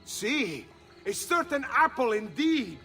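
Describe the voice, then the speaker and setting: A menacing game villain with a high, swooping voice. He sounds falsely polite and smug, with music behind him. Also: big pitch swings, slow, sharp tone, full character voice. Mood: mock-polite menace. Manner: mock-courteous, threatening. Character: sly, menacing mercenary villain, greedy and smug. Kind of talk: video game cutscene acting.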